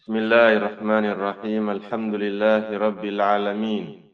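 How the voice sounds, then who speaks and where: A man's voice: on the low side, completely flat delivery, normal speed. A man teaching an Islamic lesson in a didactic way, explaining a text through questions and answers. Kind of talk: religious lesson, reading Arabic text and explaining it. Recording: muffled, distant microphone.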